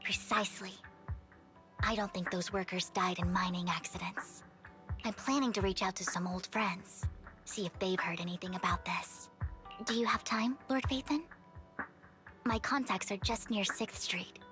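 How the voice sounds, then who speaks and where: Game role: earnest, formal young woman with old hurts, bright tone, faint music behind female actor. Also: mid-range voice, lightly acted. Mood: serious, pensive. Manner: formal, measured, dramatic. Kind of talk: English video game voice acting.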